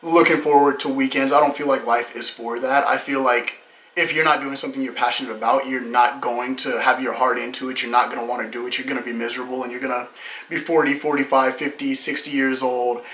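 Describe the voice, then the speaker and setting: Man in his late twenties, bright voice, joking and teasing, echoey room. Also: medium pitch, level tone, fast. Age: 20s. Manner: joking, teasing, reads questions aloud. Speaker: channel co-host reading viewer questions. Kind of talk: casual couple Q&A chat.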